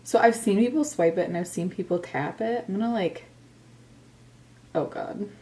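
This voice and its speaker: Chatty young woman, slightly hoarse. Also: mid-range voice, moderate pace, warm tone, low-quality recording. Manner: chatty, self-deprecating, lots of "like". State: slightly hoarse from being sick. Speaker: beauty vlogger. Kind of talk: casual makeup first-impressions chat. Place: bedroom, indoors.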